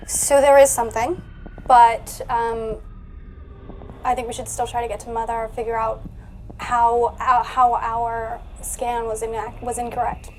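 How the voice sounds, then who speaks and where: A woman's voice: fairly high pitch, little pitch movement, a little slow, low-quality recording. A woman playing a tabletop role-playing game, chatting casually and partly in character, with music behind her. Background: background music.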